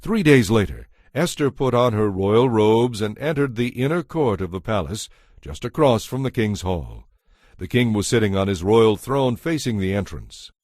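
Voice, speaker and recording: A man's voice: lowish voice, animated, normal speed, a little mellow, no echo, clean studio sound. A man narrating scripture as a scripted, dramatized story, with a solemn storytelling delivery. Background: music.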